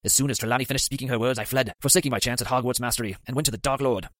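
A man's voice: mid-range voice, fairly even intonation, fairly bright tone, dry recording, lightly acted. A man voicing a guilt-ridden male potions master who speaks formally and in pain. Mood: grave. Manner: formal, measured, pained.

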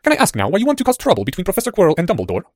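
A man's voice: high-pitched, wildly swooping pitch, rapid-fire, no echo, clean studio sound, pitched above the performer's own voice, full character voice. A man voicing a cocky, scheming schoolboy in an audiobook, speaking in a proud, matter-of-fact way. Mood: eager, curious. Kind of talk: audiobook character voice.

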